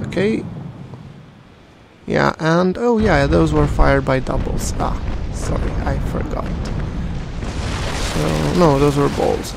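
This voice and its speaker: Man, non-native English accent, gameplay narration, hesitant, slightly high voice, gravelly. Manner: slow and hesitant, many fillers. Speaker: gameplay commentator.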